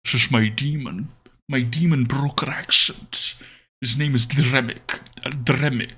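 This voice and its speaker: A man's voice: mid-range voice, fairly even intonation, unhurried, pushed and bright, slightly rough, pitched below the performer's own voice, exaggerated voice. A man voicing a demon broker from a tabletop game, menacing and in control.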